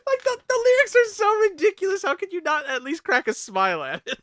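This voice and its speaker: Animated host chatting casually, dry close sound. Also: very high-pitched, quick pace, no room sound. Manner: jokey, swears casually. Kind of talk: casual group chat, ranking songs.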